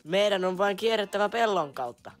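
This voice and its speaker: A woman's voice: medium pitch, animated, soft background music, lightly acted. A woman voicing a plucky, determined young racer in an amateur cartoon dub. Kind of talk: animated fandub acting.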